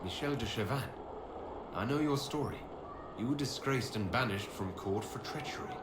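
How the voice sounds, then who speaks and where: An actor playing a commanding, composed hero in a video game, speaking directly and probingly. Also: male voice, mid-range voice, moderate pace, lightly acted. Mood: firm. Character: commanding, direct male hero leading an organisation. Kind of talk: dramatic video game dialogue. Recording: echoey space, faint background noise.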